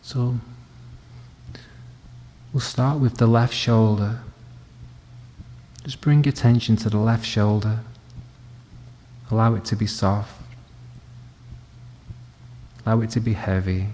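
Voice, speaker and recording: Soft, low-voiced man guiding meditation. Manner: calm, gentle, unhurried with long pauses. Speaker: meditation teacher. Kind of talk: guided body scan meditation. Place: indoor room at a wellness centre. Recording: reverberant hall, faint background noise.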